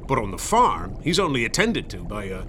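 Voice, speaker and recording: A man's voice: medium pitch, wildly swooping pitch, brisk, a bit bright, slight background noise. A man playing a suspicious, gossipy old colonial farmer in a radio drama, speaking emphatically and conspiratorially. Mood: ominous, suspicious. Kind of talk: radio drama acting in period speech.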